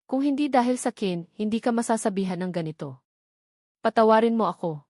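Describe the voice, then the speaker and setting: A woman voicing a determined young man, a gentle older brother, calm but firm and pleading, in an audio drama. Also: a little high, fairly quick, dry recording, studio quality. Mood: pleading, resolute.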